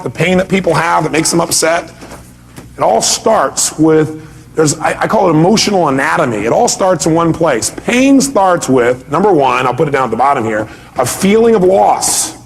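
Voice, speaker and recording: A man with a somewhat high-pitched voice speaks as a motivational seminar presenter. He addresses his audience directly and emphatically, driving each point with rhetorical questions. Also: animated, normal speed.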